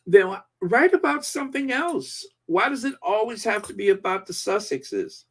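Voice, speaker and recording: Forties male host, unhurried and meandering, fond of pauses, chatty comment-reading commentary. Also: on the high side. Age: 40s. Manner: rambling, slow, lots of fillers and pauses. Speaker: channel host.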